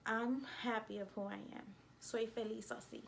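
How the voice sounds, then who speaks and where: A woman with a New York accent talking casually to camera as a vlogger, in an emphatic, indignant and expressive way. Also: on the high side, normal speed, creaky, a little hiss or hum. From United States.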